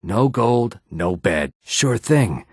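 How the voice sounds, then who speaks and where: A man playing a gruff, wary, streetwise lizard-man in a video game, with a raspy, gravelly voice. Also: on the low side, very animated, slow, a little mellow, no echo, studio quality, heavily acted. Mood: businesslike, wheedling. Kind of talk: video game character dialogue lines.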